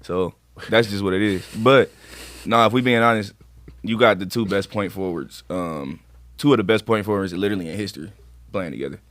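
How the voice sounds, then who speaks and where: A man's voice: on the low side, a little fast, no room sound. An American man co-hosting a podcast, debating sports casually in an emphatic, slangy, opinionated way. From Akron, Ohio, United States. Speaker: podcast co-host.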